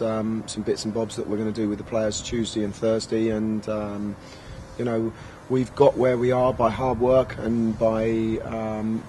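A man in his thirties, a football manager giving measured post-match answers with many fillers, into handheld recorders outdoors. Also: mid-range voice, warm tone, a little hiss or hum. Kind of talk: post-match press interview. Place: outdoor press area by a sponsor backdrop. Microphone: handheld recorders held close.

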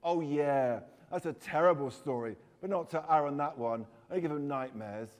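A man reads as an excitable young storybook boy spinning ghost tales. He is earnest and defiant, with long hall reverb around the voice. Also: on the high side, normal speed, close to an everyday voice. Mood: eager.

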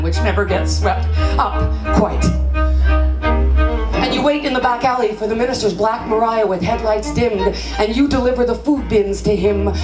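A middle-aged woman with a Canadian accent performing spoken word poetry onstage, with rhythmic, theatrical delivery. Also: medium pitch. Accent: Canadian English. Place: small stage at a festival venue. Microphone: handheld microphone. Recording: echoey live room with music.

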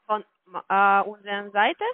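A woman with a foreign accent in a phone conversation, speaking a second language haltingly as she searches for words. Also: medium pitch, little pitch movement, smooth. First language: not German. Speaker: call-centre finance department manager. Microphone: telephone line. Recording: tinny, narrow-band phone call recording.

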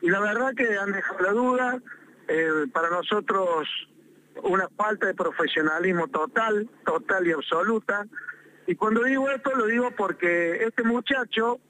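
A man's voice: high-pitched, normal speed, sharp tone, a little hiss or hum. A man with an Argentine accent, a mayor calling in to a talk show, making an insistent, aggrieved complaint and repeating points for emphasis, heard over a phone line. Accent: Argentine Spanish. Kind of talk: phone interview.

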